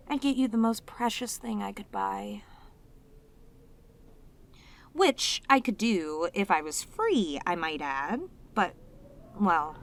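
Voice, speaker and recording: A woman voicing a lonely, sweet, possessive demoness in an intimate roleplay audio drama, speaking tenderly and hesitantly to the listener. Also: a little high. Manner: tender, hesitant, slightly stammering, clingy, emotive. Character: lonely, sweet, possessive female demon, sealed away, obsessively devoted.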